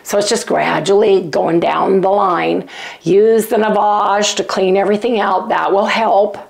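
An older woman with a cold hosting a home cooking vlog, chatting casually to her viewers in a hoarse, throat-clearing voice. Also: mid-range voice, fairly even intonation, unhurried. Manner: chatty and folksy, addresses viewers as "you guys". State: sick, losing her voice, coughing. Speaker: keto cooking channel host. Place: home kitchen, indoors. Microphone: lapel mic.

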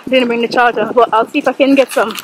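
A woman's voice: on the high side, steady tone, fast, background noise. A young woman vlogging, chatting casually to a handheld camera as she hikes up a muddy trail and later talks at home. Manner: chatty, breathless, exclaiming. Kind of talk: casual vlog chat. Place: outdoors on a muddy hillside trail, then a car, then a bedroom at home.

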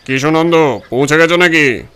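A man voicing a brisk, bossy office manager giving curt orders in a cartoon story. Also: a little high, fairly bright tone, clean tone, pitched below the performer's own voice, natural voice. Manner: curt, commanding.

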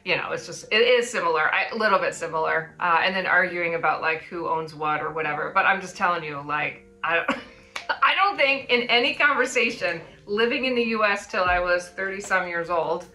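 American woman host, 40s, bright, chatty storyteller, laughs a lot. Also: on the low side, animated, brisk, faint music behind, low-quality recording. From United States. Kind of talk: casual chat. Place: living room, seated on a sofa.

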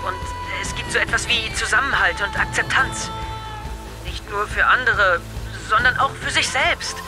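A woman's voice: fairly high pitch, moderate pace, lightly acted. A young woman playing an earnest, idealistic teenager defending a radical cause in a German audio drama. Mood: earnest, pleading. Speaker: actor. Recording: music under the voice.

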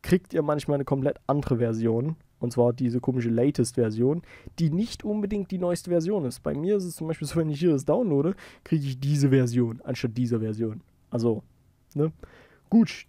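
A man giving a casual software tutorial, informally thinking aloud as he goes. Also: medium pitch, animated, normal speed, no room sound. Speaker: tutorial host.

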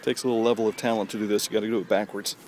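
A man, chatting through a handheld walking tour of an outdoor high school stadium, folksy and proud of his hometown. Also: flat delivery, very fast, darkish tone, slight background noise. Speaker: local stadium tour guide. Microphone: handheld camera at arm's length.

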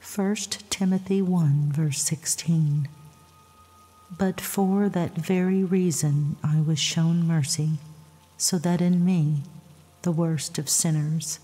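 A woman softly narrating a scripted guided sleep meditation with scripture readings, in a hushed, breathy, soothing and measured voice. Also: sits low, steady tone. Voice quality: soft, breathy, husky. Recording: long reverb, soft music behind.

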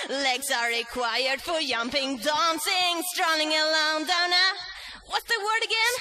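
A woman singing a pop cover as an amateur, over backing music. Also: very high-pitched. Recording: music under the voice.

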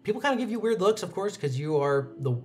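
A young man improvising descriptive tabletop roleplay narration as game master, halting now and then to find his words. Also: high voice, very animated. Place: indoor studio set, seated at a desk. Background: music.

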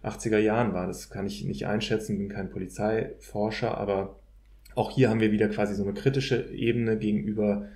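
A man's voice: lowish voice, steady tone, brisk, creaky, darkish tone. A man speaking as an expert guest in an analytical discussion, hesitantly searching for his words. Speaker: guest, political scientist.

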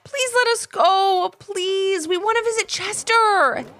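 Woman as high-pitched, excitable storybook kids. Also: slow pace, dry recording, faint music behind, full character voice. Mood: pleading. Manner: eager, exclaiming. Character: excitable, pleading young brother and sister. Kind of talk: children's storybook character voice.

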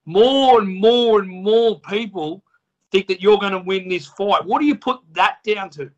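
A man's voice: high-pitched, unhurried. Male interviewer, plain questions, muffled lo-fi archive sound. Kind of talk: press interview.

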